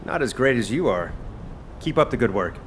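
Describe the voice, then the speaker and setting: Man playing an easygoing, lively young game chef. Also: medium pitch, normal speed, noisy background, close to an everyday voice. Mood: casual, friendly. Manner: teasing, casual. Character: easygoing, joking young male chef and soldier. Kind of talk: video game voice acting.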